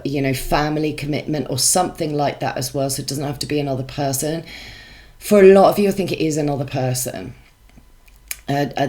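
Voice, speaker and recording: A woman's voice: deep voice, a little mellow. Congested woman reading tarot. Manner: chatty, musing, many fillers and restarts. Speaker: tarot reader.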